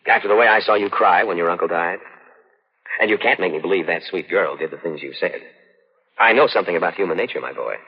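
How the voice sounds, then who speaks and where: An avuncular police investigator in a vintage radio mystery. His voice is deep and rough, talking quickly in a patronizing, folksy way. The sound is muffled. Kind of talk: 1940s radio suspense acting. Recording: muffled old radio broadcast.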